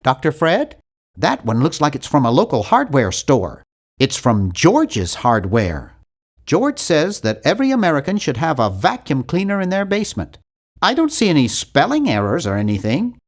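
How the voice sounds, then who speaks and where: A man's voice: a little high, huge pitch swings, normal speed, full character voice. A man voicing an earnest, timid young male nerd in an adventure video game, with a precise, fussy delivery. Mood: curious, matter-of-fact. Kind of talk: animated adventure game voice acting.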